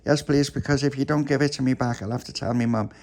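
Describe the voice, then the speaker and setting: Man playing little storybook boy, raised pitch, pleading, earnest, politely stubborn. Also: steady tone, fast talker, darkish tone, natural voice. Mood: pleading, insistent.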